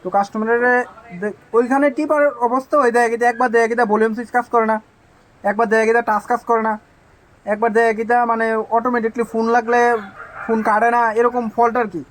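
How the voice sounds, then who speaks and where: A man's voice: very high voice, little pitch movement, quick pace, fairly bright tone, low-quality recording. A man with a Tripura Bengali accent giving an informal phone repair demonstration as a technician, with repetitive, emphatic assurances.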